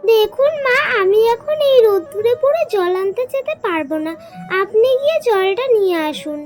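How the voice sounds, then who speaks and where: Woman acting a very high-pitched, spiteful young daughter-in-law. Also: lively intonation, moderate pace, darkish tone. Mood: scolding. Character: scheming, spiteful young daughter-in-law, lying to her husband. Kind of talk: cartoon folk-tale voice acting. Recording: music behind the voice.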